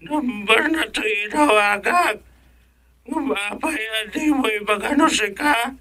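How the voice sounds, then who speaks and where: A performer playing a cranky, bossy villager in an Ilocano radio comedy drama, scolding and shouting impatiently with an Ilocano accent. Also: medium pitch, measured pace. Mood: irritated, scolding.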